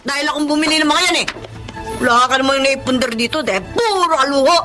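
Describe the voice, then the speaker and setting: A woman's voice: sits high, quick pace, natural voice. An actress playing a scornful, combative tomboy partner in a Filipino radio drama, with music behind her. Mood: scornful, angry.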